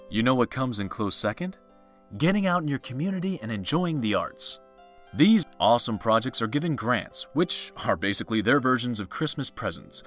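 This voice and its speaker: A male announcer delivers a scripted sponsor message. His mid-pitched voice moves up and down in a lively way over faint music.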